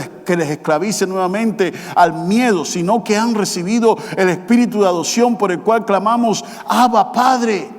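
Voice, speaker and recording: A man preaching a sermon as pastor, with emphatic repetition, rising to loud passages and dropping to quiet, slow ones. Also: sits high. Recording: long hall reverb.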